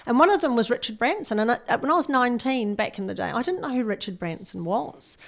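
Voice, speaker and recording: A woman's voice: fairly high pitch, lively intonation, quick pace, creaky. A middle-aged woman with a New Zealand accent, speaking as a guest in a conversational interview. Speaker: guest, personal branding consultant.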